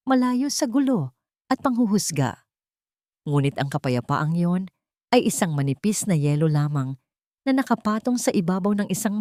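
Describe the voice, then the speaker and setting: A woman narrating a scripted dramatic story with measured, suspenseful phrasing. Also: sits low, warm tone, no echo, clean studio sound.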